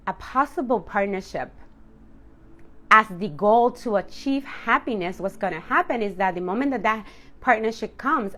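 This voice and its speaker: A woman's voice: medium pitch, fairly bright tone. Candid woman in her late thirties, motivational speaker. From Dominican household. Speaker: self-love coach speaking to viewers. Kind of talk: personal motivational talk to camera.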